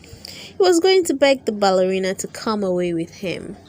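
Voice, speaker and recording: A woman reading a children's storybook aloud in an expressive storytelling manner, with dramatic emphasis. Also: mid-range voice, normal speed. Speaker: storybook reader and channel host.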